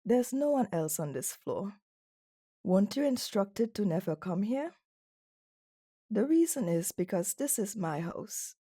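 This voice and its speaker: A woman acts out a commanding female chief executive for an ASMR roleplay. She speaks slowly and deliberately in a soft, mellow tone. Her manner is cold and condescending, with a dominant, flirtatious edge. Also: mid-range voice, very animated, breathy edge. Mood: stern, controlled.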